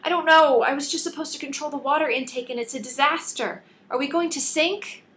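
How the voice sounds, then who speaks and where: Woman acting a young female apprentice, anxious, absent-minded, plaintive, raised pitch, audiobook character. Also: a little fast, a bit bright. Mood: worried. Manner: plaintive, wailing.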